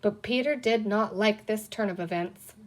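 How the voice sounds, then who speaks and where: A woman's voice: medium pitch, normal speed, rough recording. Woman narrating a children's story. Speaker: reader of a children's book. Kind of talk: expressive scripted story narration.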